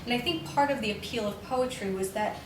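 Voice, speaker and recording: A woman's voice: fairly high pitch, brisk. A young woman poet, raised in New York with Romanian as her first language, answering interview questions in a thoughtful, reflective way with frequent hedges and restarts. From New York, United States. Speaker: poet, prize winner. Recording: echoey room, voice from far off, faint noise.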